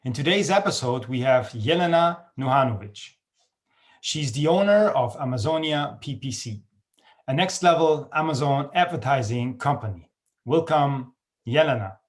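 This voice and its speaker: A man's voice: medium pitch, lively intonation, moderate pace. A man hosting a podcast interview, rambling through his questions with restarts. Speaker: podcast host. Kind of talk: casual interview talk.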